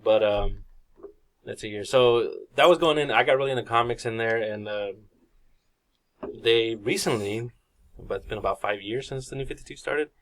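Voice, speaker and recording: A man's voice: medium pitch, normal speed. A man of about thirty hosting a podcast in casual, informal group chat. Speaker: podcast host.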